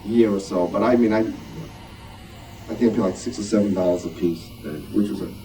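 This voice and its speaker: A man's voice: on the low side, fairly even intonation, fairly quick, mellow. Distant, casual man giving a club talk. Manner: rambling, self-deprecating, conversational. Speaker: club presenter and moderator at show and tell. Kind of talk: informal show-and-tell talk to a club. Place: club meeting room. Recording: distant mic, noisy room.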